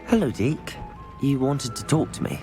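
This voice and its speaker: An actor playing a polite, helpful young student wizard in a video game, speaking in a courteous, earnest way. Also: male voice, medium pitch, lively intonation, normal speed, natural voice. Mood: polite, curious. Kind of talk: video game character dialogue. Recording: music clearly behind the voice.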